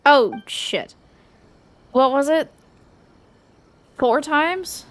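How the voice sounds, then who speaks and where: A woman's voice: high-pitched, expressive, drawn-out words, a bit bright. A woman game streamer giving casual commentary, thinking aloud in an informal way. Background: faint game music.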